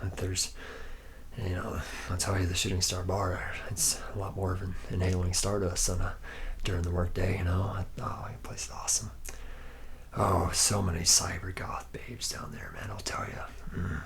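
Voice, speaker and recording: A man's voice: deep voice, little pitch movement, moderate pace, vocal fry, a little hiss or hum. A man playing a laid-back, boozy, womanizing factory worker from the future in an ASMR roleplay, rambling slangily and often dropping into a whisper. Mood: chummy, boozy.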